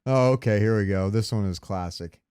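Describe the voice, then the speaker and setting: A man's voice: fairly low pitch, brisk, darkish tone, clear, resonant tone, dry recording. A man co-hosting a casual gaming podcast, opinionated and rambling, swearing freely. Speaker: podcast co-host.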